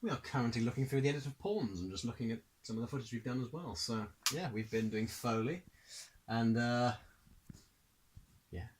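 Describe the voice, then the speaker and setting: Young man chatting over clear noise. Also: medium pitch, expressive, brisk. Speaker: amateur film director. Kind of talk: casual joking chat. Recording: clear background noise with clicks.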